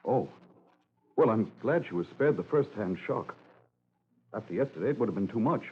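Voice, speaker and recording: Male, husky, lilting voice, gentle but guarded loner in vintage radio mystery. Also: on the low side, lively intonation, moderate pace. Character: mild, evasive, soft-mannered solitary male hiker. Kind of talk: old-time radio drama acting. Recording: dull old radio recording.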